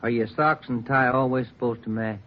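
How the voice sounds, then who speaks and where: Tinny-sounding actor as a brisk police detective. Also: male voice, mid-range voice, fairly even intonation, slight background noise, close to an everyday voice. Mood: matter-of-fact. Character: plain-spoken, no-nonsense police detective partner. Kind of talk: 1950s radio drama acting. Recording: tinny old radio recording, loud music.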